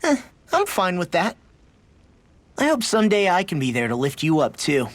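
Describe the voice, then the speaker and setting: A man's voice: high-pitched, wildly swooping pitch, normal speed, dry recording. An actor playing a brash but earnest teenage boy fighter who talks informally in a video game cutscene. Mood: earnest, admiring.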